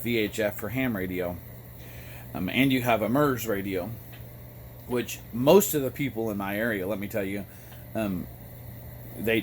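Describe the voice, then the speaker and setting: An American man talking in a casual, rambling monologue, with a halting delivery that often pauses mid-thought. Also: fairly low pitch. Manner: halting, frequent throat clearing between phrases. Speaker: amateur radio vlogger. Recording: noticeable background noise.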